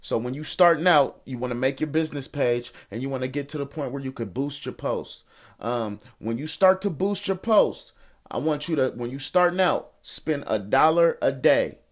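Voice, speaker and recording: An American man with an average-pitched voice talks as a financial coach on a group call. He answers questions informally in street slang, encouraging listeners and repeating phrases to drive a point home. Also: expressive, normal speed. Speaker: host of a financial coaching call, investor and entrepreneur.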